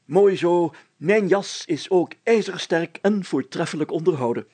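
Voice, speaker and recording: Man playing a pompous, high-pitched bossy village mayor. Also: measured pace, a little mellow, husky, full character voice. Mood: brisk, commanding. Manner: pompous, grandiose, commanding.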